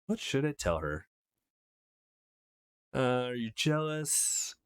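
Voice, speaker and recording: A man hosting a let's play, reading a visual novel aloud with commentary in an animated way. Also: medium pitch, slow, smooth. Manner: acts out lines in an animated way.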